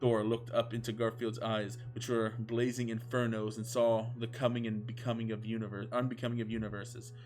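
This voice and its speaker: A man's voice: on the low side, a little mellow. A man reading fan fiction aloud as a comedic, mock-epic narration, sounding amused. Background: music.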